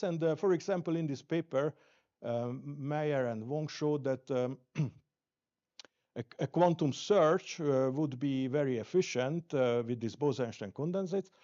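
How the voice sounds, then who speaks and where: A man from Hungary with a Hungarian accent, giving a scientific conference talk in a halting way full of hesitations. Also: medium pitch, dark tone. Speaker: invited lecturer. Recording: dull lo-fi lecture recording.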